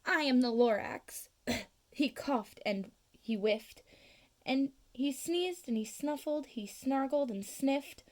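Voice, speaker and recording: Lady doing a kids book read-aloud, expressive, slightly high voice, really slow pace. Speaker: storybook reader.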